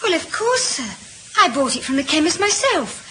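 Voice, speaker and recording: Very high-voiced young maid. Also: female voice, sing-song, normal speed, bright, forceful tone, exaggerated voice. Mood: dutiful. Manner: deferential. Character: young servant maid, obedient and easily flustered. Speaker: actor in radio drama. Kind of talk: 1940s-50s radio acting. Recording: noisy old radio recording with clicks.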